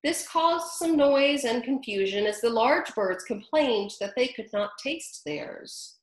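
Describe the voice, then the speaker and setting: A woman reads a children's story aloud, stopping for friendly asides to her young listeners. Her voice is fairly high and very lively in its rise and fall. The room adds a roomy echo. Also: takes it slowly, slight background noise. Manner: animated and chatty, talking to young listeners. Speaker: storybook reader.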